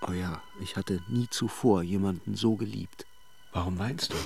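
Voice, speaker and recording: A melancholic lover in a literary radio drama, reflective and intimate. His low voice has a gravelly creak. He speaks in measured, introspective phrases, tender yet detached. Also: a little mellow, heavily acted. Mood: tender, quiet. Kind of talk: literary radio drama, introspective first-person narration and dialogue.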